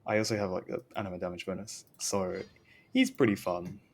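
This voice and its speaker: A man with a fairly low voice talks casually on a game stream. He rambles and keeps cutting into his own sentences. A faint noise and rumble sit behind his voice. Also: steady tone, brisk. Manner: rambling, self-interrupting. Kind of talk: casual gaming stream chat.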